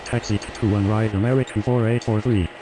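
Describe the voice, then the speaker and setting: Male pilot, lowish voice, clipped radio phraseology, heavy background noise. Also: fairly even intonation, brisk. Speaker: airline pilot on air traffic radio. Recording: loud noisy hiss and hum.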